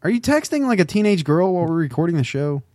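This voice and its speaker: A man's voice: sits high, sing-song, fast, darkish tone, no echo, clean studio sound. A man co-hosting a podcast, chatting casually in a wry, teasing way. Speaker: podcast co-host. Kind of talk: casual banter and discussion.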